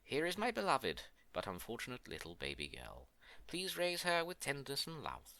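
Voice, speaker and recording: Man acting tender storybook queen, highly expressive pitch. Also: normal speed, exaggerated voice. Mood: sorrowful. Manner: tender, pleading, dramatic. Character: gentle, anguished imprisoned queen and devoted young mother. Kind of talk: storybook character voice.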